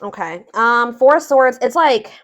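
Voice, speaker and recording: A woman with a fairly high voice talks through a tarot reading in a casual, unscripted way. She muses aloud, feeling for the right words and circling back to rephrase what she has just said. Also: lively intonation, unhurried, a little hiss or hum. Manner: hesitant, musing, repeats and rephrases herself. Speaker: tarot reader, host.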